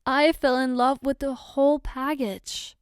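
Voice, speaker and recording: Woman acting a high-voiced, slow, loving girlfriend. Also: little pitch movement, clear, resonant tone, no echo, clean studio sound. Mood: tender, reassuring. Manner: tender, coaxing, soft giggles between phrases. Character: loving, reassuring, playfully teasing adult girlfriend. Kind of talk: ASMR roleplay, intimate one-sided dialogue.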